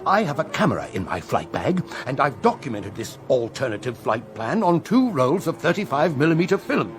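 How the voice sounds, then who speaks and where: A man's voice: medium pitch, big pitch swings, fairly quick, close to an everyday voice. A man acting in a radio drama as an indignant, officious former military flier, speaking formally and insistently as a passenger.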